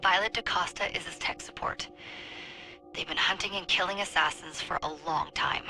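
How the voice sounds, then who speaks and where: Very sharp bright voice, fry, businesslike female mission leader, brisk game briefing. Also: fairly low pitch, fairly even intonation, faint music behind, heavily acted, rough recording. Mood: urgent briefing. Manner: brisk, matter-of-fact briefing. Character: businesslike female leader of secret modern assassins.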